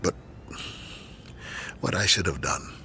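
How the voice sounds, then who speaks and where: A man's voice: slow pace, sharp tone, a little hiss or hum. A man acting in a radio drama as a proud, embattled middle-aged university lecturer, defensive and remorseful, with an intense, articulate, rhetorical delivery. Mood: remorseful, confessional.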